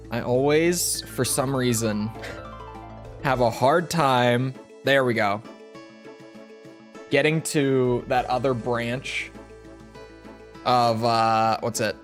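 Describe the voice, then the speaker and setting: A man streams a game and chats to his viewers in a joking, upbeat way. His voice sits in a mid range and sounds a little rough. Faint music plays behind him. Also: medium pitch, slow, pauses often. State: slightly rough voice. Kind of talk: casual live-stream chat over gameplay.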